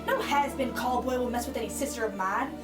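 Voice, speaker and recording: A woman's voice: on the high side, a little fast, lightly acted. A woman playing a feisty, melodramatic young girl in a 1940s family radio play, indignant and dramatic in delivery. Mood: theatrically vengeful. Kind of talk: holiday radio play acting. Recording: echoey room, distant mic, music behind.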